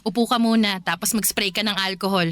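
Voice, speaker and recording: An actress playing a caring, attentive young Filipina wife in a radio drama, conversational and solicitous. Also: female voice, medium pitch, steady tone, normal speed, noisy background, close to an everyday voice.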